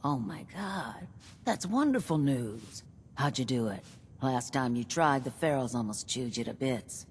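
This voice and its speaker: A wry old shopkeeper woman from a video game. She has a very deep voice for a woman and teases with tough familiarity, over a faint rumble. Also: expressive, unhurried. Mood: delighted, surprised. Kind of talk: video game dialogue acting.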